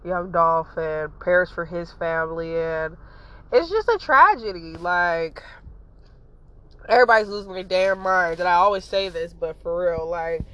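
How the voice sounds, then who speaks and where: A woman's voice: fairly low pitch, measured pace, fairly bright tone, rough recording. A woman with a stuffy nose giving a casual, blunt commentary monologue as a podcast host, rambling and full of fillers and swearing.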